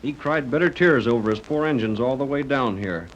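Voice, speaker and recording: An obedient ship's officer in a thirties adventure serial, played by a man. His middle-pitched voice comes through a muffled recording with some noise. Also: fairly quick, lightly acted. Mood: dutiful. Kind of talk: 1930s radio serial acting. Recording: dull, noisy vintage radio recording.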